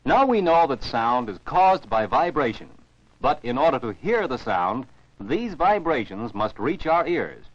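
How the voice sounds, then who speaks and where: A man's voice: medium pitch, big pitch swings, measured pace, dry recording. An American man narrates scripted science for children as an educational film narrator, in a didactic, carefully enunciated way. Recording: dull, dry 1949 film soundtrack.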